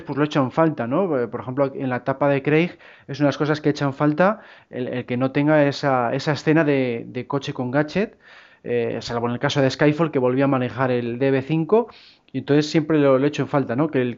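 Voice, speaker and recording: Man, debate host, fairly high voice, muffled lo-fi sound. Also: darkish tone. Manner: asks guests questions, frequent acknowledging murmurs. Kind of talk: casual discussion moderating. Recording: muffled lo-fi audio.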